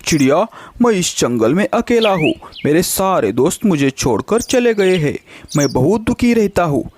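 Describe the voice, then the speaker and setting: A man's voice: high-pitched, big pitch swings, moderate pace, darkish tone, a little hiss or hum. A man voicing a cunning male eagle in a children's cartoon story, wheedling and scheming under false friendliness. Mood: pleading.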